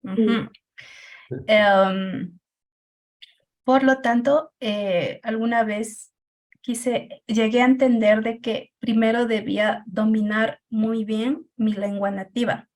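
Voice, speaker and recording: A woman whose first language is Quichua shares her own experience as a teacher in a group discussion. Her voice sits a bit high and she speaks slowly. She pauses often and fills the gaps with soft hesitation sounds. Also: fairly even intonation. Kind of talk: personal account in a group discussion.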